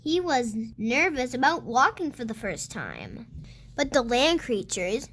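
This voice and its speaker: A child reading a picture book aloud for other kids, with expressive, emphatic storytelling. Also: medium pitch, unhurried. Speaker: host of a read-aloud channel.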